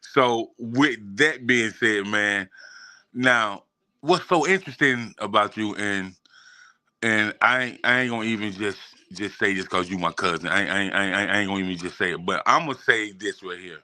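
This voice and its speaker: Guy hosting a casual interview, sharp bright voice, slangy, repeats stuff for emphasis, pretty dry audio. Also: on the low side, normal speed, no room sound. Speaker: interview host.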